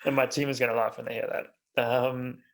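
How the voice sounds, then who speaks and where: A man hosting a conversational podcast interview, halting as he pieces his questions together. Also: mid-range voice, little pitch movement. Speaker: podcast host interviewing a guest. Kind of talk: casual interview chat.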